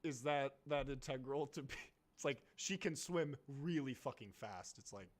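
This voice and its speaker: A man's voice: mid-range voice, expressive, normal speed. A man chatting casually on a gaming livestream, bantering loosely with plenty of swearing and laughs. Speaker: streamer co-host. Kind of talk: casual gaming stream chat.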